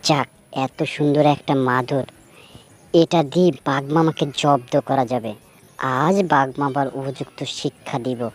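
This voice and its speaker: A woman's voice: extremely low pitch, fairly even intonation, normal speed. A woman voicing a sly, cunning trickster fox in a cartoon fable, with a wheedling and scheming manner. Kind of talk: animated fable character voice acting.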